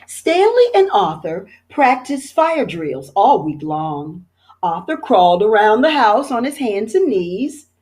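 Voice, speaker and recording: A woman, a teacher, reading a children's picture book aloud in an expressive storytelling manner. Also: mid-range voice, slow pace.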